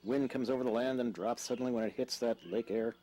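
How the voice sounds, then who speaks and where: A man's voice: medium pitch, fairly even intonation, fast talker, dark tone, lightly acted, low-quality recording. Man acting a wry young male houseguest. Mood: casual, dry. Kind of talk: audio drama acting.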